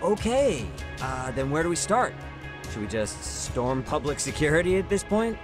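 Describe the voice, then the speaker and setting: A man's voice: a little high, sing-song, moderate pace, music in the background. A man playing a casual, easygoing young sidekick in a video game dub, with an informal delivery. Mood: thoughtful.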